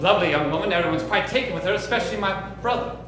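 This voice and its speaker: A man's voice: sits high, racing, natural voice. A man playing a grim, formal stranger searching for his missing brother and seeking revenge, in an improvised stage thriller. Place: theater stage. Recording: echoey live theater with music.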